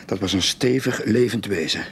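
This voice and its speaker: No-nonsense cop in radio play, gravelly, plain practical talk, slight background noise. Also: male voice, fairly low pitch, moderate pace. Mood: weary, matter-of-fact. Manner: matter-of-fact, reassuring. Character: practical, sceptical male police sergeant. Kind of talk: radio drama acting.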